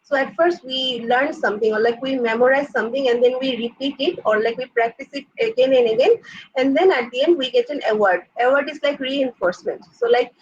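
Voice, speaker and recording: A woman's voice: high-pitched, brisk, husky. A young woman, a graduate student giving a seminar presentation and explaining concepts with many "like" and "uh" fillers. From Bangladesh. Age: young adult. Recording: dull lo-fi sound.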